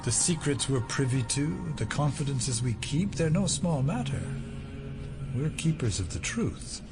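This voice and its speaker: A man's voice: medium pitch, expressive, music in the background. Menacing, swooping male conspirator. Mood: cold, reproachful. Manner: deliberate, patronising, menacing. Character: menacing male conspiracy boss, coldly disappointed. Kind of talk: audio drama dialogue.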